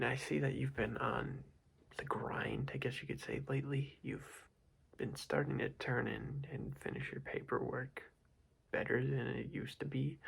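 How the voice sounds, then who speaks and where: Young male ASMR whisperer, close-miked, husky and gravelly, as a rambling casual boss. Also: mid-range voice, fairly bright tone. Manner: casual, rambling scolding with many fillers. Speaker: ASMR creator playing a boss.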